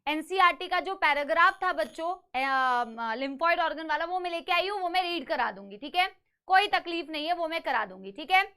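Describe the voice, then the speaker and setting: A young woman teaches a live online biology class with a slightly sore throat. Her voice sits very high and sounds bright and forceful. She coaxes and reassures her students, calling them "beta" and slipping English phrases into the lesson. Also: lively intonation, normal speed.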